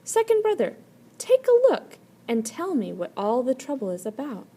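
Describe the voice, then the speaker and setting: A woman's voice: sits high, wildly swooping pitch, normal speed, dark tone, voice pushed up, full character voice. A woman voicing an anxious, dramatic elder brother in a storybook folktale, exclaiming with emphasis. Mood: alarmed. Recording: clear background noise.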